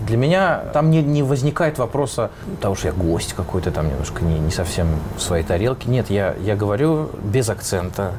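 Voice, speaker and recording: A man's voice: on the low side, lively intonation, fairly quick, hardly any pauses, noisy background. A man, a musician being interviewed, answering in a reflective way as he searches for words.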